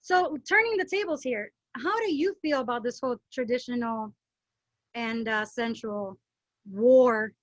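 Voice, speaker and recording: Woman, podcast host, high voice, lively chat, dry dull sound. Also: dry recording. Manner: lively, chatty, quick to chime in. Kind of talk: casual chat.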